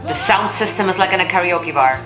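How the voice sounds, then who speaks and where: A woman speaks in a notably low alto. She comments casually and bluntly, like a vocal coach hosting a reaction video, expressive and frank. The room rings around her voice, and music plays behind. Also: fairly quick, echoey room. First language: German.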